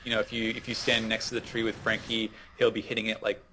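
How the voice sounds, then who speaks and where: A man's voice: lowish voice, monotone, quick pace, sharp tone, a little hiss or hum, poor audio. A man in casual gaming chat, explaining things as a co-host in a hesitant way, circling back over his phrases. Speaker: gaming co-host giving tips.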